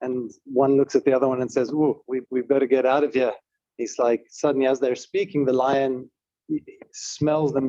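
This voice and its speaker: A man's voice: mid-range voice, warm tone, no echo. A man with a South African accent giving a conversational talk at a community event, often stopping and restarting his sentences. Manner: conversational, with frequent restarts and fillers. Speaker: guest speaker, tech CEO. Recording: muffled, dull event stream.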